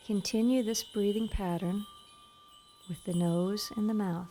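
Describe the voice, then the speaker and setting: A woman leading a guided meditation in a soothing, gentle, instructional manner. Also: medium pitch, steady tone, unhurried, slight background noise. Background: soft meditation music with chimes.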